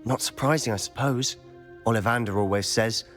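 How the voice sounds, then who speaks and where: A man's voice: mid-range voice, normal speed, a bit bright, soft background music, close to an everyday voice. A young man playing a confident, secretive student in a game cutscene, eager and conspiratorial. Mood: confiding.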